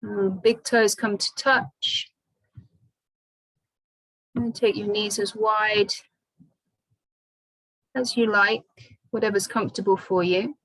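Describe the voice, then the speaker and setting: A woman teaching restorative yoga, giving calm, soothing guidance with long pauses between phrases. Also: medium pitch, poor audio. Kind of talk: guided restorative yoga instruction.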